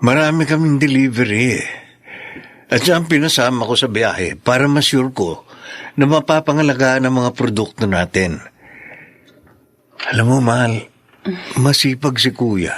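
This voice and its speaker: A man's voice: medium pitch, unhurried, long pauses, a bit bright, no room sound. A man acting an easygoing, considerate husband who runs a business, in a Tagalog radio drama. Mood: casual, content.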